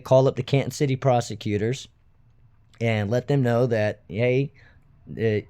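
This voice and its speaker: A man's voice: mid-range voice, dark tone. A man giving unscripted commentary to his viewers in a blunt, emphatic, indignant and profane way. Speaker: video host, self-styled citizen watchdog.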